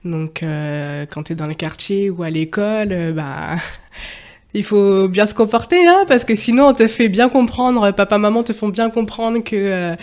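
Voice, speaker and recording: A woman's voice: medium pitch, lively intonation, a little slow, darkish tone. A young woman from France who grew up partly in Martinique, talking as a podcast guest in a hesitant, casual way, with many fillers and frequent laughs. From France, raised partly in Martinique. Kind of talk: casual interview testimony.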